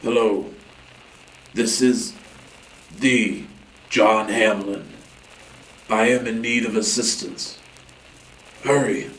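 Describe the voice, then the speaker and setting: Actor as weakened captive man, very slow halting speech, echoey, off-mic, radio drama. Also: lowish voice, fairly even intonation, fairly bright tone. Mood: urgent, weak. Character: weakened male captive, searching and pleading for help. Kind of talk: old-time radio drama acting. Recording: distant echoey room, faint noise.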